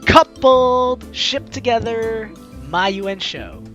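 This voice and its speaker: A man's voice: very high voice, slow pace, fairly bright tone, soft background music. A man hosting a let's play, reading the story aloud in a playful, dramatic way and adding his own commentary.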